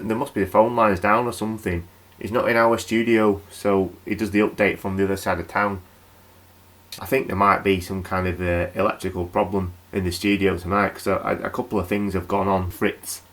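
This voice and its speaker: A man's voice: lowish voice, fairly bright tone. An actor playing a chatty, wry male local radio night host.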